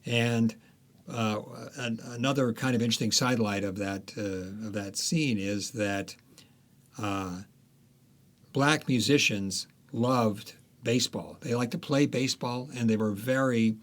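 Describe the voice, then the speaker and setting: A man, an author being interviewed, talking through history in a relaxed, unhurried way with frequent fillers and restarts. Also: mid-range voice. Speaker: author guest.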